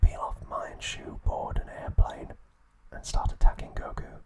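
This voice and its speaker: A man narrating a recap as an ASMR host in a soft, unvoiced whisper, in short deliberate phrases.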